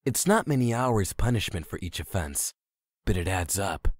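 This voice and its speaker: A man's voice: low-pitched, lively intonation, moderate pace, dry recording, studio quality. A man playing a meek, earnest, simple janitor in a comic dub.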